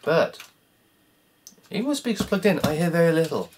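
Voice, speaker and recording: A croaky speaker rambles through a self-mocking running commentary while taking apart a cassette player at home. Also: medium pitch, expressive, normal speed, a little mellow, a little hiss or hum. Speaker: hobbyist repair vlogger.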